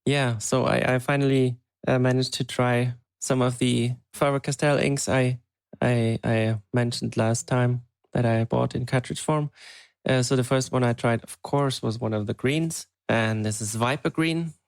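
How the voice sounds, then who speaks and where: A man co-hosting a podcast, chatting casually and thinking aloud in an unhurried, hesitant way. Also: mid-range voice, fairly even intonation, clean tone, dry recording. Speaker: podcast co-host. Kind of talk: casual chat.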